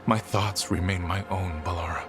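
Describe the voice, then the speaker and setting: An actor voicing a solemn, devoted elven zealot in a video game, speaking in a grave, formal and fervent way. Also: male voice, deep voice, normal speed. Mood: devout. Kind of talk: dramatic video game voice acting. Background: music.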